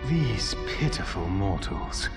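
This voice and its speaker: A man's voice: sits low, very animated, takes it slowly, full character voice. An actor voicing a scheming, haughty male trickster god villain in a smug, theatrical game monologue. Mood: scornful. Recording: long reverb under loud music.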